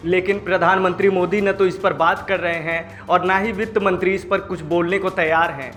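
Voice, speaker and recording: A man's voice: high voice, a bit bright. A man reading scripted news narration as a news presenter. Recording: music behind the voice. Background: background music.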